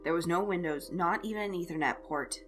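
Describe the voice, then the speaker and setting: A woman reading a story aloud as an expressive narrator, telling it in character-driven prose. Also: lowish voice, fairly quick. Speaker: fan fiction narrator. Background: background music.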